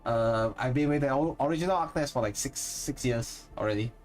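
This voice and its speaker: A man's voice: mid-range voice, brisk, faint music behind. A man with a Singaporean accent chatting casually with viewers on a live stream, rambling and correcting himself as he goes. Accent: Singaporean English. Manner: rambling, thinks aloud and corrects himself mid-sentence. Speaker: streamer and content creator.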